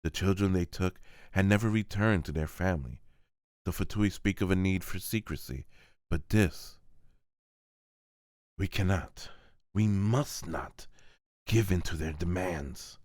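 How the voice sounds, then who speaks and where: A man plays a grave seneschal from a fantasy manga. He speaks in a low, slow voice, earnest and weary, with solemn pauses. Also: a little mellow, dry recording, clean studio sound. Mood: sorrowful resolve. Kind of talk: dramatic manga voice-over.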